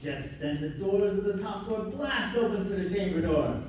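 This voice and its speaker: A man's voice: high-pitched, expressive, normal speed, darkish tone. A man reading scripted scene narration for a live radio play. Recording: echoey noisy convention hall, distant mic.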